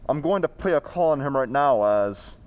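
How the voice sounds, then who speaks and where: Guy doing a polite radio drama detective, formal wording, very animated pitch, composed. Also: normal speed, dull audio, close to an everyday voice. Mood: calm, probing. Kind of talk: old-time radio drama acting.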